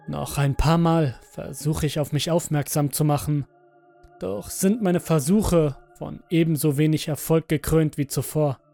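A man reads a horror audio story in a slightly high voice. His delivery is slow, deliberate and dramatic, with music playing faintly underneath. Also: steady tone, gravelly, dark tone. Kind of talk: scripted first-person horror audio story narration. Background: background music.

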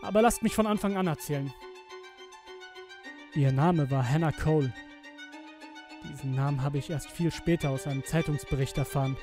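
A young man acting a guilt-ridden, haunted twenty-year-old who gives a halting, emotional confession in a horror audio drama. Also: fairly high pitch, lightly acted. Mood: grave, remorseful. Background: music with violin.

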